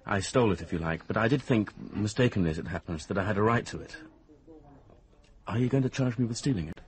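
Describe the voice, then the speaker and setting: A man's voice: on the low side, expressive, quick pace, natural voice. An actor with a British accent playing an uneasy, evasive young man with a hesitant manner in a radio drama. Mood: uneasy, defensive.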